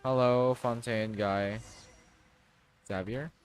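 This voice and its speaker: A man's voice: mid-range voice, fairly even intonation, slow pace, clear, resonant tone. A man livestreaming, giving casual reaction commentary on a game story.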